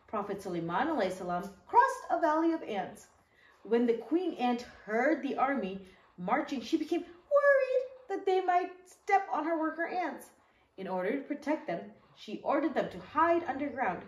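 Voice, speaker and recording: Animated high-voiced woman reading to children. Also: normal speed. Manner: animated, asks listeners questions, acts out short quotes. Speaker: children's storyteller reading her own book. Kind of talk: read-aloud children's story with asides and questions. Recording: echoey room.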